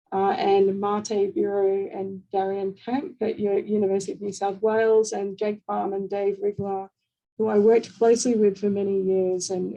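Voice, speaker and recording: A woman giving an online lecture over a video call, her voice croaky and hoarse, speaking casually with many fillers. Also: medium pitch, mellow, highs cut off. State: hoarse late at night. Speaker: invited speaker.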